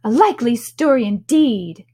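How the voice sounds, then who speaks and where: A woman's voice: very animated, a little slow. A woman voicing a frantic, scolding mother pigeon from a storybook, screeching and exasperated. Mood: contemptuous. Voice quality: shrill, screeching. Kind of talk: storybook character voice.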